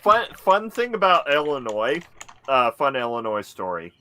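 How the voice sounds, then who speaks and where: A man from the Pacific Northwest telling stories in a casual chat, halting with lots of fillers. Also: mid-range voice, sing-song, bright, forceful tone, slight background noise. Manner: halting storyteller, many fillers. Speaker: podcast cast member.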